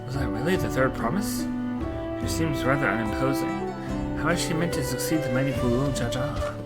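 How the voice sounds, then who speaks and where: A man's voice: medium pitch, brisk, loud music behind the voice, lightly acted. A man voicing a blunt, skeptical giant villager in a fantasy video game. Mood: dismissive, curious. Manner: blunt, questioning.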